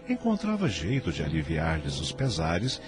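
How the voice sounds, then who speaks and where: A solemn man with a Brazilian accent narrates a radio drama. His deep voice rises and falls dramatically over clearly audible music, with literary phrasing. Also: wildly swooping pitch, a little slow.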